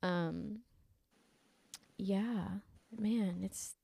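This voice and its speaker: A young woman co-hosting a podcast in casual chat, a little congested from allergies, chatty and quick to laugh. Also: mid-range voice, gravelly, mellow, breathy edge, dry recording. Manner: chatty, laughs often, lots of fillers. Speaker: podcast co-host.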